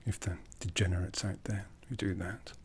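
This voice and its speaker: A man playing an ancient, brooding vampire lord in an ASMR roleplay, speaking in a breathy, hushed, solemn voice that slips into whispers. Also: low voice, little pitch movement, slight background noise. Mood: solemn, coaxing. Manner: deliberate, solemn, intimate. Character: ancient vampire lord, brooding, commanding, softly menacing, courtly. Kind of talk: ASMR roleplay, soft speech slipping into whispers.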